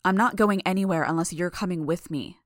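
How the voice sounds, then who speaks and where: A stubborn, earnest teenage girl, voiced by a female audiobook reader. She argues insistently and defiantly. Her voice is slightly low and recorded very dry. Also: brisk, dry recording, natural voice. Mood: firm, insistent. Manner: insistent, argumentative. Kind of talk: dramatised audiobook dialogue.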